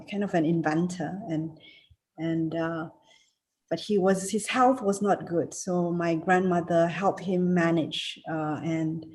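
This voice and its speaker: Woman in her fifties from Singapore, slow and halting, video call keynote with many fillers. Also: fairly low pitch, darkish tone, rough recording. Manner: hesitant, many fillers and restarts. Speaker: keynote speaker, alumna. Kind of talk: reunion speech and Q&A answers.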